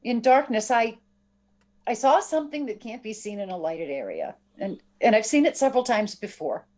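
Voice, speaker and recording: A woman's voice: a little high, very animated, darkish tone. An actress playing a frightened young woman who halts and repeats herself anxiously, in old-time radio horror style. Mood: insistent, uneasy. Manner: halting, anxious, repeats words. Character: frightened, insistent young woman haunted by shadowy presences. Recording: radio drama with music behind.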